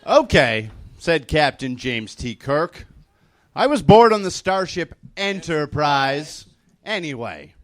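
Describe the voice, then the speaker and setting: A man giving a comedic dramatic reading of fan fiction with halting, over-formal mock gravity. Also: on the high side, very animated. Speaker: comedic reader on a stage show.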